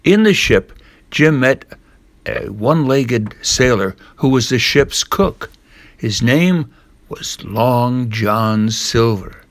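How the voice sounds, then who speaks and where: An older man narrating a storybook in a measured read-aloud manner, with the odd stumble. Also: mid-range voice, dry recording.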